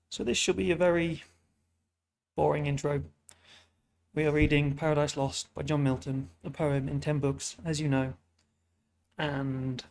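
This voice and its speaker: A British man with a sniffly, hoarse voice, tired and chatty in a self-mocking way, who hosts a storytelling show and reads an epic poem aloud. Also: on the high side, a little mellow. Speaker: storyteller host. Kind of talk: casual intro, then reading an epic poem aloud.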